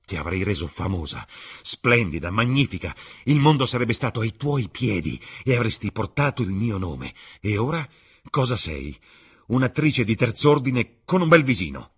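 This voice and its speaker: A man playing a cruel young gentleman, bitter and passionate, with theatrical, declamatory delivery. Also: sits low, lively intonation, moderate pace, husky, full character voice. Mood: bitter fury.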